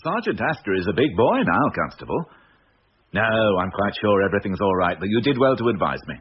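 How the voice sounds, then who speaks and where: An actor playing a calm senior police officer in a radio drama, measured and wryly formal. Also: male voice, fairly low pitch. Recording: muffled radio drama, faint noise.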